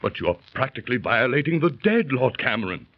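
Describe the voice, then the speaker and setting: An actor playing a solemn, cautionary judge in declamatory 1930s radio drama style. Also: male voice, medium pitch, very animated, quick pace. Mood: foreboding. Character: grave, cautionary male judge haunted by a warning. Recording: muffled, faintly noisy 1930s radio recording.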